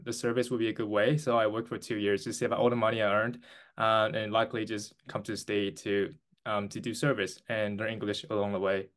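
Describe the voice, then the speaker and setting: Earnest young Taiwanese-born man. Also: lowish voice. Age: 20s. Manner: earnest, halting with restarts and fillers. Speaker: guest. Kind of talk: interview answers.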